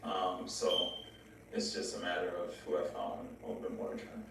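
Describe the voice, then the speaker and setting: A man's voice: sits low, completely flat delivery, a little fast, a bit bright. A young man answering casually as a dating show contestant, hesitant and polite in manner. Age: young adult. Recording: echoey, distant, faint noise.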